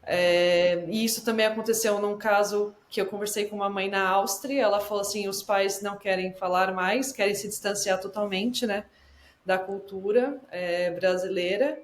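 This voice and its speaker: A Brazilian woman host telling anecdotes in an animated, conversational way. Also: mid-range voice, moderate pace, echoey room, slight background noise. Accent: Brazilian Portuguese. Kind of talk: conversational video call discussion.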